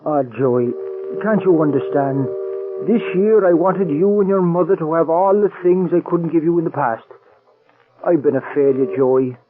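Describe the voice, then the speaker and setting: A man's voice: on the high side, moderate pace, natural voice. An actor playing a remorseful working father in an old radio drama, speaking hesitantly and full of regret. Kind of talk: 1940s radio acting. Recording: tinny lo-fi old radio recording.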